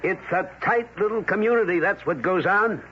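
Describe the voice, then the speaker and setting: A man's voice: high voice, moderate pace, natural voice. An actor playing a cautious, blunt, weary man warning a stubborn colleague in an old-time radio drama. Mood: exasperated warning. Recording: muffled, noisy vintage radio recording.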